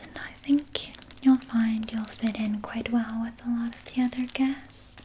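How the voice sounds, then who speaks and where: A woman playing a soothing, evasive vampire nurse in a soft, breathy ASMR whisper, gently coaxing and sweetly sinister. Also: fairly high pitch, slight background noise, rough recording. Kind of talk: whispered ASMR personal attention roleplay.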